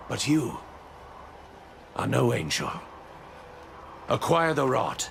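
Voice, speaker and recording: A lofty, creaky angel ruler. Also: male voice, medium pitch, fairly bright tone, a little hiss or hum, heavily acted. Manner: grand, measured and prophetic. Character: proud male angel ruler, lofty and weary. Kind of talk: video game cutscene acting.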